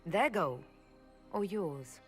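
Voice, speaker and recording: An actress playing a direct, skeptical adventurer and leader in a fantasy video game, plain-spoken and questioning. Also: female voice, on the low side, animated, drawn-out words, soft background music.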